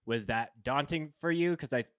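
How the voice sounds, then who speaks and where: A man hosts a casual podcast. His voice is smooth and resonant, sitting in an ordinary middle pitch, and he rambles through restarts and fillers. The sound is dry and close, with no room around it. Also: moderate pace, fairly bright tone, no echo. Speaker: podcast host. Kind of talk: casual chat.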